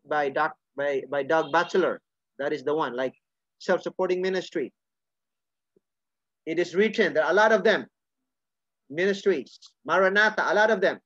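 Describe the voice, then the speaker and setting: A man's voice: sits high, dry recording. A middle-aged man preaching a sermon earnestly, often restarting and repeating phrases. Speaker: pastor. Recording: dull lo-fi sound.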